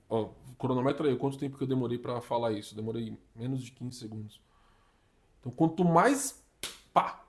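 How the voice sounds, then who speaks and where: Slangy, animated young Brazilian man. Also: mid-range voice. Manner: slangy and animated, uses "tá ligado", "mano", "papo reto". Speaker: career vlogger giving communication tips. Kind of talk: casual advice vlog.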